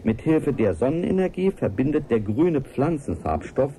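An actor playing an earnest, lonely scientist who confides in a formal, measured way in a radio-play audio letter. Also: male voice, medium pitch, big pitch swings, mellow, slight background noise, close to an everyday voice. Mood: earnest, confiding. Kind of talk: 1980s German radio play monologue.

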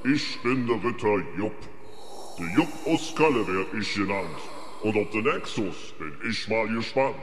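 A man's voice: fairly low pitch, lively intonation, unhurried. A man with a Cologne accent voicing a jovial, declaiming, carnival-loving death knight in a comedy audio drama. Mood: jovial, boisterous. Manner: sing-song, declaiming in rhymes. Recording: echoey long reverb, noisy ambience.

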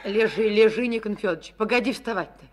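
A woman's voice: medium pitch, quick pace, slight background noise, close to an everyday voice. An actress playing a caring young village woman who fusses and coaxes, in a Soviet radio drama. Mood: coaxing. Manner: coaxing and fussing.

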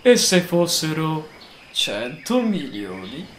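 A man playing an excitable, dreamy young treasure hunter in a theatrical fan dub of a cartoon adventure. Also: a little high, slow pace, exaggerated voice. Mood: coaxing. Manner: theatrical, exuberant. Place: home room with shelves. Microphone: microphone on boom arm, headset. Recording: roomy echo with film music behind.

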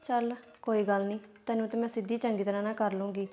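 An actress with a Punjabi accent playing a young sister-in-law stuck in a family quarrel. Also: female voice, mid-range voice, little pitch movement, fast, dark tone, lightly acted. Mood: scheming. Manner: pleading, placating.

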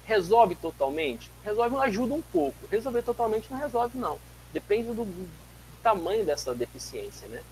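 A man in his forties, from Brazil, giving an animated physics lecture over a video call from a home office, telling stories with frequent restarts. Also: high-pitched, normal speed, slight background noise, low-quality recording. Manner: animated, gestures a lot, tells anecdotes with many restarts. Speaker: guest lecturer, physics teacher. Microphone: webcam mic on a video call.

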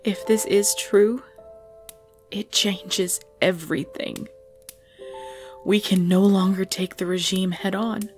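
A woman acting a tender, regal female vampire lover in an intimate ASMR roleplay, speaking softly and hesitantly with breathy whispers. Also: medium pitch, steady tone, slow pace, long pauses, lightly acted. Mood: scared, hesitant, then grave, worried. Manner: soft, hesitant, often dropping to breathy whispers. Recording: music bed under the voice.

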